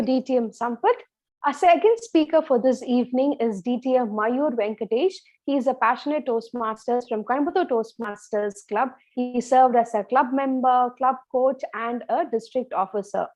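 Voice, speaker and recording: A woman's voice: high-pitched, moderate pace, darkish tone. A woman, politely introducing speakers as meeting host over a video call. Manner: polite, ceremonial.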